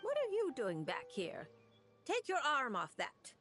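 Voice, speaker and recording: Exasperated female fortune-teller for a game. Dry, scholarly, brusque, lively inflection over soft music. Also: sits high, unhurried, exaggerated voice. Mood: scolding. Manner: dry, fussy, grumbling. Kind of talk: video game voice acting. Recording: music behind the voice.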